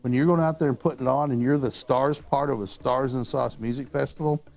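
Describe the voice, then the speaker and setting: An older man with a hoarse, worn voice speaks in a relaxed, folksy manner and rambles easily from one story to the next. He sounds like a studio show host chatting about his hobby, with faint music in the background. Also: medium pitch, brisk. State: hoarse from talking all weekend. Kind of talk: casual studio chat. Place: studio with telescopes on display.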